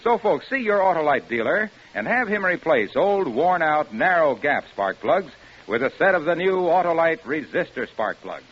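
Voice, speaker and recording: A man's voice: on the high side, big pitch swings, normal speed, slightly rough. A man reading a scripted old-time radio commercial as an announcer, in an emphatic, salesy manner. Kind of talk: scripted 1950s radio advertising pitch. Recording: dull vintage radio recording.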